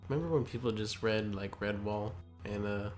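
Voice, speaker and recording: A man's voice: fairly low pitch, normal speed. A young man reading aloud and chatting casually, with skeptical, hesitant asides. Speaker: co-host reading a wiki aloud with commentary. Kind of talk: casual chat and read-aloud. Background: faint guitar music.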